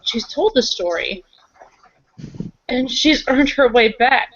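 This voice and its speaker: A woman with a fairly high voice chats casually through a tabletop roleplaying session. She moves easily between narrating and speaking in character. The sound is a little dull. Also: expressive, slow. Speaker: roleplaying game player.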